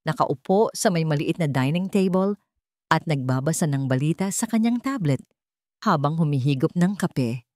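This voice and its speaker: A woman with a fairly low voice narrates a dramatic romance. Her delivery is scripted storytelling, and the recording is close and dry, free of room sound. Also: expressive, normal speed, dark tone, no room sound.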